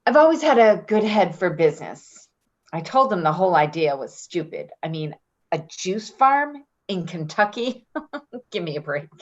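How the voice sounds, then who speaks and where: A woman's voice: fairly low pitch, sing-song, normal speed. A woman playing a breezy, evasive, self-serving friend in an offhand, casual play reading. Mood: defensive. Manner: offhand, giggly, hedging. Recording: dull lo-fi recording.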